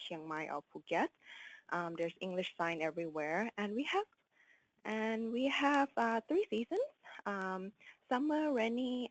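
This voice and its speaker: A woman's voice: medium pitch, gravelly, no room sound, lo-fi sound. A woman from Thailand with a Thai accent, presenting an informative webinar as a guest speaker with frequent fillers.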